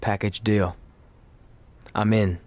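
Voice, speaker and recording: Male wrestler, lowish voice, wry and wistful, loyal friend, conversational video game drama. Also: flat delivery, takes it slowly, a little mellow. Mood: guarded, wry. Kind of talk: video game cutscene dialogue.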